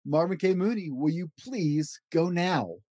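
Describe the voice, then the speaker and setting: A man's voice: a little high, lively intonation, unhurried, mellow, no room sound, studio quality. A man hosting a children's story podcast, reading aloud expressively with an emphatic, rhythmic delivery.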